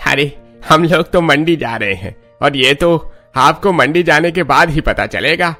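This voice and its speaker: Man playing a bright-voiced, proud older farmer. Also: on the high side, expressive, measured pace, heavily acted. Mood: teasing. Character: proud, polite older farmer, father-in-law of the family. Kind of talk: cartoon story voice acting. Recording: music behind the voice.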